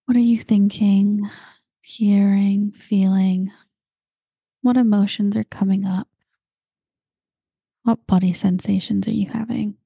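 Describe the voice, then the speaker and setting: A woman guiding a meditation, talking slowly and calmly and at times dropping into breathy whispers. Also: medium pitch, dry recording. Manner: slow, soft and calm, drifting into breathy whispers. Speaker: meditation guide. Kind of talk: guided meditation.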